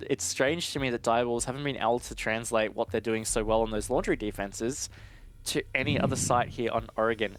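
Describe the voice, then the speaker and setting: A man giving analytical live esports commentary in a conversational way with casual asides. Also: mid-range voice.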